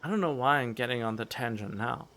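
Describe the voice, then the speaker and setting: A man's voice: medium pitch, fast, pushed and bright, slight background noise. A man giving casual gameplay commentary as a streamer, musing to himself in a rambling way. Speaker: game streamer.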